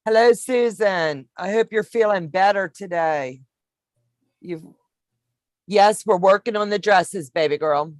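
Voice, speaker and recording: A woman in her fifties hosting a sewing livestream, chatting warmly with viewers and explaining each step as she works. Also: mid-range voice, unhurried, dry recording, studio quality. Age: 50s. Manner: homey, calls viewers baby, narrates each step. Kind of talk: casual sewing demonstration chat.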